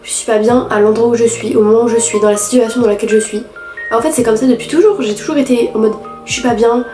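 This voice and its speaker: A teenage girl with a head cold, talking nasally through a candid, halting confessional vlog. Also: fairly high pitch, steady tone, dark tone, echoey room, soft background music. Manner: halting, candid, many restarts and fillers. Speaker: vlogger.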